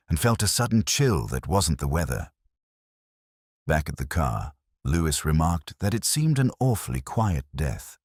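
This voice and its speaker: A very low-voiced, erudite older detective, played by a man, recounts a case in slow literary prose. His delivery is reflective and wry, with lively melodic movement in the pitch. The sound is close and dry, suited to melancholy radio mystery. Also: no room sound, exaggerated voice. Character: melancholy, erudite older male English police inspector, reminiscing. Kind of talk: slow literary first-person detective narration.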